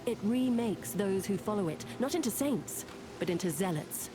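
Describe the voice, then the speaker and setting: An actress playing a solemn, guarded woman who keeps dangerous secrets, speaking in a grave, measured way in a fantasy game. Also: medium pitch, expressive, background noise, lightly acted. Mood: somber, bitter. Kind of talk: dramatic fantasy game narration.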